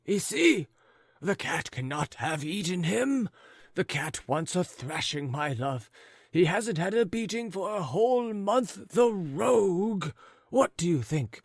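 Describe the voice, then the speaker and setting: A man's voice: high-pitched, creaky, fairly bright tone, no echo, studio quality, voice pushed up, full character voice. A man reading an audiobook, voicing a fussy, bewildered old husband who calls out anxiously. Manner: fussing, calling out anxiously. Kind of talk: audiobook character voice.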